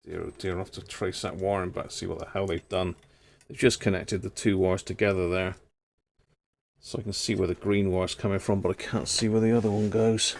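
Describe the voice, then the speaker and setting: A man's voice: sits low, fairly even intonation, fairly quick, creaky. A man hosting a live stream, muttering to himself as he repairs an old radio. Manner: muttering to himself while tinkering. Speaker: radio hobbyist host.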